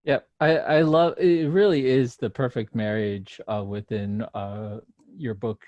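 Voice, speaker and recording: A man hosting a casual interview, phrasing his questions and comments tentatively, in halting pieces. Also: medium pitch, steady tone, darkish tone, no echo, dull audio.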